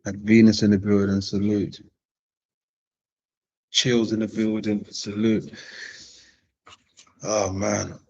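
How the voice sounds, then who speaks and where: A man hosting a live-streamed chat with a husky, somewhat low voice. He is laid-back, loops back on his own phrases and tosses out slangy greetings. The sound is dull and lo-fi. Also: little pitch movement, slow pace, long pauses, warm tone. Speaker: host of a live-streamed panel. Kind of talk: casual chat, greeting viewers.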